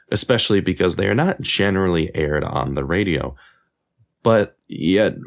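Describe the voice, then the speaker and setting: A man's voice: low voice, a bit bright. A man hosting a podcast, reading scripted narration as a creator of audio drama.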